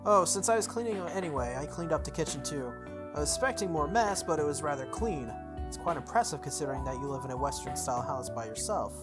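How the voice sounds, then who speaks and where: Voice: medium pitch, animated, quick pace, lightly acted. A performer of unclear sex voicing an unflappable male servant warrior in a visual novel, polite, composed and faintly teasing. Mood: matter-of-fact. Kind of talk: visual novel read-aloud. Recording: music behind the voice.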